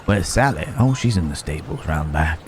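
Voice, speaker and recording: A man's voice: extremely low pitch, animated, slight background noise. A man playing a roguish, genteel Wild West stranger in an ASMR roleplay, wry and musing, speaking straight to the listener. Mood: easygoing, amiable. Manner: unhurried, wry, musing, with drawn-out pauses.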